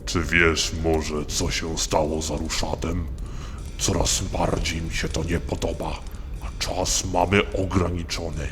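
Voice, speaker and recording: Man voicing a slow, deep, gruff orc warlord. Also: level tone, slight background noise. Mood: stern, commanding. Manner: gruff, curt, domineering. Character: commanding, impatient orc warlord on his throne. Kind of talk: fantasy audio drama acting. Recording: long hall reverb, loud music underneath. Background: dramatic music.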